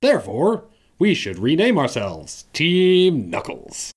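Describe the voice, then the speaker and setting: A man's voice: fairly high pitch, huge pitch swings, slow pace, heavily acted. A man voicing a pompous, theatrical male echidna who sees himself as the leader, speaking melodramatically. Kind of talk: fan audio drama acting.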